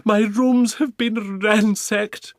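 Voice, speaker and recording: A man voicing a shrill, dramatic older woman, a former opera singer, wailing loudly. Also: lively intonation, a little slow, vocal fry, no room sound, studio quality, exaggerated voice. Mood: hysterical.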